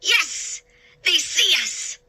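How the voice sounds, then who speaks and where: A woman doing an excitable two-headed sailor, crying out. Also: very high-pitched, very slow, voice pushed up, full character voice. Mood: excited. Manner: loud, excited cries. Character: excitable male sailor, one head of two.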